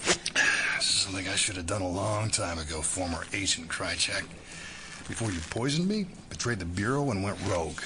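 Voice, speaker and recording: An actor playing a stern, bitter, middle-aged FBI director with a formal, forceful way of speaking, in an audio drama. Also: male voice, low voice, lively intonation, brisk, background noise. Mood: cold anger.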